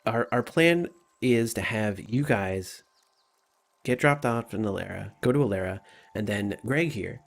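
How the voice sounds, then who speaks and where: Tabletop scout leader, female male player: low, creaky, hesitant, apologetic, rambling. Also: expressive, measured pace. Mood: earnest, explaining. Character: tall, awkward, apologetic woman, leader of scouts.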